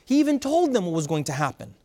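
High-voiced preacher in an echoing hall. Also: male voice, sing-song, fast. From Brazil. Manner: loud, fervent preaching with emphatic repetition. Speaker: pastor. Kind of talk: sermon. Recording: long hall reverb.

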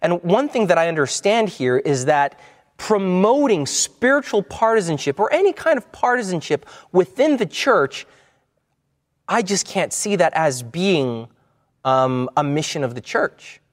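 A man's voice: high-pitched, very animated, a little slow. Man preaching in a reverberant hall. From United States. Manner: conversational, repeats words and restarts often. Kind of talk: sermon-style Bible teaching.

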